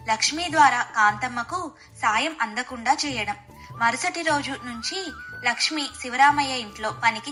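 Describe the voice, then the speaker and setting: A woman's voice: high-pitched, pushed and bright. A woman narrating a scripted moral bedtime story as the storyteller.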